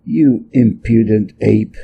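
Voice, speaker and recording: A man voicing a huge, serene Buddha in a storybook, speaking with calm, measured authority and gentle admonishment. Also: fairly low pitch, gravelly, husky, phone-quality sound, pitched below the performer's own voice, exaggerated voice. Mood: admonishing. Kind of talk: storybook character voice.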